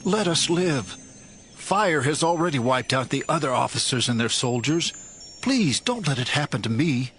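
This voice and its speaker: An actor playing a humble, frightened army officer in a dramatized audio Bible, begging desperately. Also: male voice, mid-range voice, expressive, normal speed, fairly bright tone, a little hiss or hum, lightly acted. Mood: desperate, pleading. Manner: begging, fearful.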